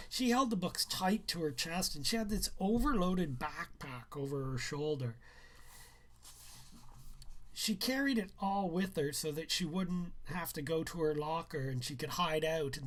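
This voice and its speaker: A man reading a first-person novel aloud as its author, with deliberate storytelling phrasing. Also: on the high side.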